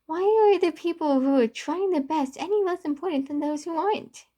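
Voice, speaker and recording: Woman acting distraught young man, pitched high. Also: moderate pace, dark tone. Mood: angry, yelling. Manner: impassioned, protesting, stumbling. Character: distraught, bitter young male narrator of a story.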